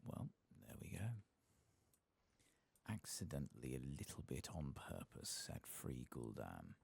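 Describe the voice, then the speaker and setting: A soft-spoken man murmurs casual ASMR commentary over a game. His very low voice moves expressively up and down. Faint noise and clicking sit behind him. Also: gravelly. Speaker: ASMR gaming streamer.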